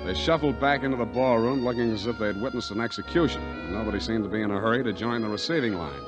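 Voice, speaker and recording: An American actor playing a tough, wisecracking private detective, wry and blunt, in a 1940s radio drama. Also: male voice, lowish voice, quick pace, lightly acted. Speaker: lead actor and narrator. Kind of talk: 1940s hard-boiled detective radio acting and narration. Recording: old 1940s radio recording, music behind.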